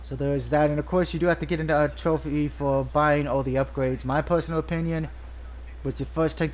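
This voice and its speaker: A man's voice: on the high side, slight background noise, poor audio. A man with a nasal, stuffy voice from allergies, casually reviewing a video game over gameplay, rambling with frequent ums and restarts.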